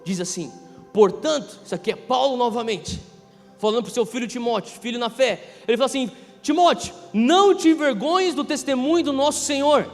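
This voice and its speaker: Brazilian guy in his 30s preaching, really high voice, emphatic, big echoey hall with music under it. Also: brisk. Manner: emphatic, repeating key phrases, swinging from hushed to loud. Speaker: pastor preaching. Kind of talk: impassioned sermon. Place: large reverberant church hall.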